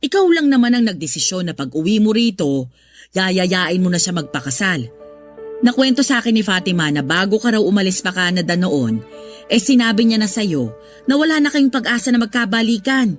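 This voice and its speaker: A woman's voice: mid-range voice, expressive, brisk, soft background music, lightly acted. An actress playing a frank, scolding older mother in a radio drama, with a Filipino accent. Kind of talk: Tagalog radio drama acting.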